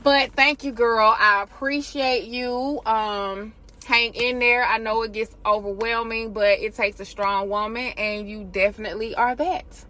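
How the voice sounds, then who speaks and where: A woman hosting a podcast in a casual, effusive, informal way, quick to laugh. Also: fairly high pitch, steady tone, pushed and bright. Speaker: podcast host.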